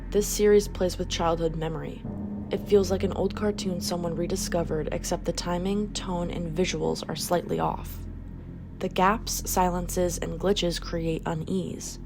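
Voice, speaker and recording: Female narrator for a video essay: lowish, noticeably gravelly fry, scripted and measured, music underneath. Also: fairly even intonation.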